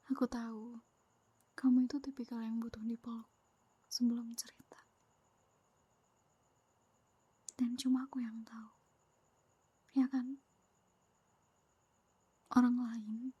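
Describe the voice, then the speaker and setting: A woman's voice: on the high side, flat delivery, slow, pauses often, slightly rough, dry recording, muffled recording, exaggerated voice. A woman playing a whispering possessive girlfriend. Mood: tender whispering. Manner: soft close whispering, sweet and needy. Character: clingy, possessive, jealous young girlfriend. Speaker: ASMR roleplay performer. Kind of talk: ASMR whispered roleplay.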